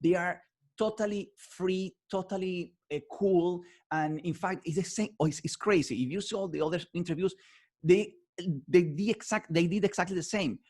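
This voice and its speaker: Husky, eager interviewer with English. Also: male voice, high voice, normal speed, a little mellow. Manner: enthusiastic, non-native English phrasing, repeats words. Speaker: interviewer, host. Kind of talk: interview host chat.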